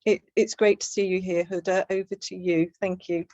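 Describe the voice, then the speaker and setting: A woman's voice: lowish voice, level tone, normal speed, mellow, no echo. A middle-aged woman chairing an online meeting, thanking one speaker and introducing the next. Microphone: video call. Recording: dry, dull lo-fi sound.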